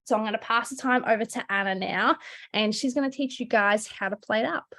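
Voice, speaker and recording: A woman's voice: a little high, brisk, a bit bright. Upbeat woman hosting with many fillers. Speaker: event host. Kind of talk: welcome and introduction. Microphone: video call.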